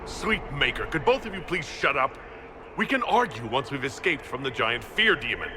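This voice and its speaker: Actor as exasperated male adventurer, fantasy game; medium register, very lively inflection. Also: mid-range voice, normal speed, noisy background, natural voice. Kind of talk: video game voice acting.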